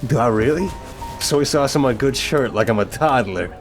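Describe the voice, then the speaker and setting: A man's voice: medium pitch, expressive, normal speed, faint music behind, natural voice. A man playing an apologetic, flirtatious mafia boss who talks to a date in a teasing, earnest, affectionate way, in an ASMR roleplay.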